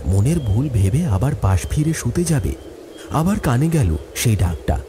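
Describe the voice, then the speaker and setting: A man's voice: mid-range voice, very animated, unhurried, noisy background. A man giving a scripted, dramatic narration of a horror story.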